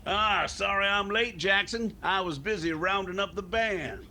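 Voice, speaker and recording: A man's voice: sits high, measured pace. A man playing a breezy, boozy, cocky bandleader in an old-time radio sitcom. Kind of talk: classic radio sitcom acting. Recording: noisy recording with room ambience.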